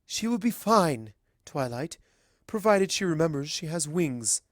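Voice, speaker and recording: A performer voicing a gentle but resolute pony princess in a measured, formal, regretful manner for a story reading. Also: mid-range voice, big pitch swings, no echo, studio quality, pitched above the performer's own voice. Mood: calm, patronizing. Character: regal, sorrowful adult mare princess, gentle but resolute.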